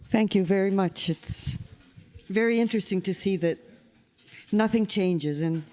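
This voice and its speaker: A man's voice: high-pitched, unhurried, a little mellow. A man chairing an academic panel, moderating informally between speakers.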